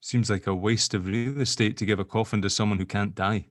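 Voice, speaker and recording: A man's voice: fairly low pitch, fairly even intonation, fairly quick, dry recording, natural voice. Sardonic sceptic, dry close sound, man acting. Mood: coaxing. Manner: sardonic, bantering, informal. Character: sardonic, sceptical spirit-dealing grave opener, gently joking. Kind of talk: audio drama table read.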